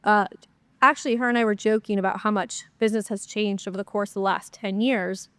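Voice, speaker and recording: A woman's voice: mid-range voice, steady tone, fairly quick, a bit bright, clear, resonant tone, no room sound. A woman hosting her own podcast, talking in a chatty, upbeat way with plenty of fillers, her voice a bit nasal and stuffy from a cold. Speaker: podcast host. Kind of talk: solo podcast Q&A, casual chat.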